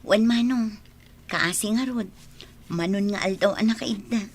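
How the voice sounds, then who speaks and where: An actress with an Ilocano accent playing a caring, dutiful young woman and loyal friend in a radio drama, speaking gently. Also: mid-range voice, unhurried. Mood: polite.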